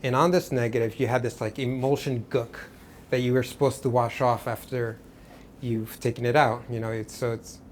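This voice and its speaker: A man from Portugal, an artist guest, talking about his work in a relaxed, rambling way with many restarts. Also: medium pitch, moderate pace, slight background noise. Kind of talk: casual interview.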